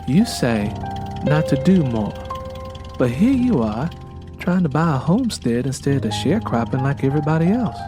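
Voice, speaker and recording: A man voicing a weary, plainspoken young pregnant farm wife in an audiobook, with a plaintive, gently challenging manner. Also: medium pitch, sing-song, moderate pace, music in the background, natural voice. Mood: puzzled, challenging. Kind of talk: dramatized audiobook character dialogue.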